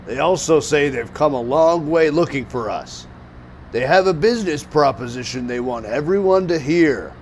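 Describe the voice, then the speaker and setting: A man's voice: on the high side, animated, moderate pace, darkish tone, a little hiss or hum, lightly acted. A man voicing a brusque, authoritative creature mayor with an official, commanding manner in an amateur cartoon audio drama.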